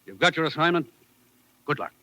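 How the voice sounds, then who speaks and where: A man's voice: on the high side, animated, a little fast, sharp tone, soft background music. An actor playing a grave, authoritative American intelligence chief briefing his agent in a vintage radio drama. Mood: firm, commanding. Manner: earnest, authoritative. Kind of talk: 1950s radio acting. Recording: noisy vintage radio recording.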